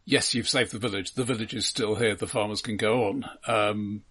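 Man, lowish voice, husky, measured, halting phrasing, conversational podcast co-host. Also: steady tone, a bit bright. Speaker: film discussion podcast co-host. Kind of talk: casual film discussion.

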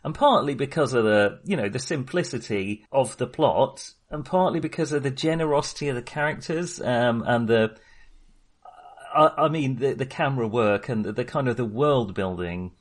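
A man's voice: medium pitch, moderate pace. A man talking casually and informally about films as a podcast co-host, with a chatty, mildly sweary manner. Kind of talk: casual film discussion.

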